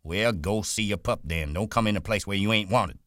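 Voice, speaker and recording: A proud, aloof stable hand snaps back in a curt, defensive, bitter way, as a man reading aloud puts him on. The low voice is raised somewhat and sounds dry and close. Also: normal speed, fairly bright tone, dry recording, lightly acted. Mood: scornful.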